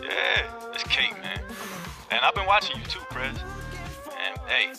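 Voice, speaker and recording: An American actor playing a cocky, playful young basketball pro in a video game, slangy and teasing. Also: male voice, high voice, very animated, measured pace, music in the background. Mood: boastful teasing. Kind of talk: naturalistic video game cutscene dialogue.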